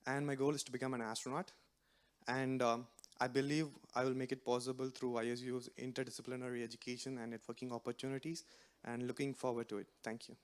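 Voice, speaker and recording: A man introduces himself formally and earnestly. His voice sits in a middle range and stays even. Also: medium pitch, quick pace. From India. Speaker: incoming student. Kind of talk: short self-introduction at a ceremony.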